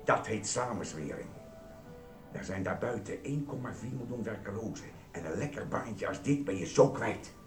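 An actor playing a grumpy, officious supermarket security guard in a radio play, brusque, suspicious and lecturing. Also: male voice, lowish voice, animated, moderate pace, lightly acted. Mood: wary, suspicious. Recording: roomy echo, music behind.

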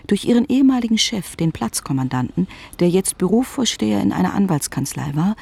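A woman narrating scripted literary prose with a breathy, gravelly voice. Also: medium pitch, expressive, fairly quick, mellow.

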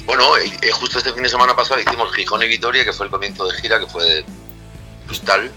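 Middle-aged man from Spain, very low rough voice, sharp and forceful edge, rambling interview talk, music under it. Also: fast talker. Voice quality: rough, cracked voice. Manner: rambling, many restarts and tag questions. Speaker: rock singer interviewed as guest.

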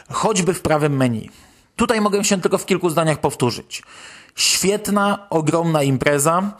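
A man with a slightly high voice presents news as a podcast host. He adds his own commentary as he goes.

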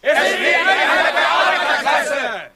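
An actor playing an excitable, scheming ship's admiral who cajoles his crew theatrically in a comic radio play. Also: very high voice, measured pace, a loud crowd, heavy background noise. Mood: indignant, theatrical.

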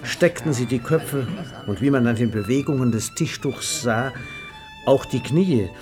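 An actor as a wry storyteller, reading scripted literary narration in a radio play. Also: male voice, mid-range voice, wildly swooping pitch, measured pace. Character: wry storyteller of a boozy party. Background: music.

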